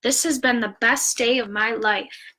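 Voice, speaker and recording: A woman's voice: on the high side, fairly even intonation, unhurried, pushed and bright. A woman voicing an earnest young girl who is fond of her pet in a children's storybook. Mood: grateful.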